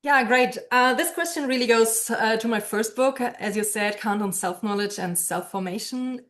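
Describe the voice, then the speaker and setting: A woman's voice: on the high side, moderate pace, a bit bright. A woman speaking as an interviewed philosophy professor, explaining ideas in a thoughtful way and posing questions to herself.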